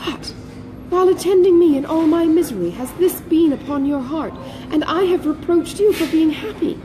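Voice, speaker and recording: A woman's voice: very high-pitched, expressive, normal speed, over music, voice pushed up, rough recording. A woman voicing a passionate, distressed young sister in an audiobook, exclaiming with emotion. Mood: shocked, anguished.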